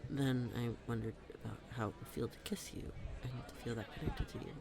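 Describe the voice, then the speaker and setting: A man playing a smitten young best friend in a roleplay audio, talking to the listener in a halting, playful, nervous way. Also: mid-range voice, steady tone, gravelly, lightly acted. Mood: tender, confessing. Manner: halting, giggly, playful, addressing the listener directly. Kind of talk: scripted roleplay audio, intimate conversational acting. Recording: public place with crowd murmur.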